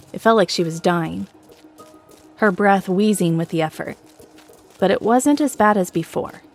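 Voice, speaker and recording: A woman's voice: lowish voice, darkish tone. A woman narrator reading a scripted, dramatic story with music behind her.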